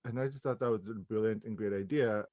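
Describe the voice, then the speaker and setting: A man's voice: fairly low pitch, fast talker, dry recording, phone-quality sound. A man with an American accent talking casually as an interview guest, in a hesitant, giggly manner. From Los Angeles, United States.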